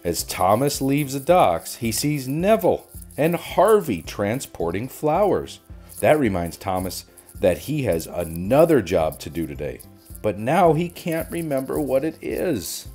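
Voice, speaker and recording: A man narrating a children's storybook in an expressive read-aloud style. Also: mid-range voice, measured pace, breathy edge. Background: music.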